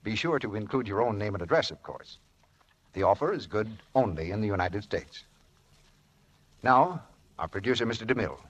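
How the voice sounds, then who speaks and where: A man announcing a sponsor offer in emphatic, deliberate, salesmanlike 1940s radio style. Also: lowish voice, animated. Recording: vintage radio broadcast.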